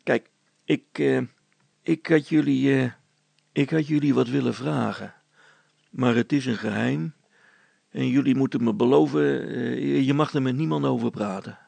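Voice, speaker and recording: Storybook role: male narrator as gossipy, pompous village policeman, creaky voice, hesitant and bossy, dry sound. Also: medium pitch, a little slow, long pauses, a little mellow, dry recording. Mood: exasperated. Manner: hesitant, confiding, bossy, stammering over words. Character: gossipy, self-important village policeman, adult man.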